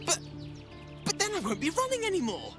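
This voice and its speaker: An excitable, simple-minded dog for a video game. The voice is extremely high and leaps all over, eagerly repeating itself, with clear music behind. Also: female voice, fairly quick, exaggerated voice. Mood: worried. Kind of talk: video game creature dialogue.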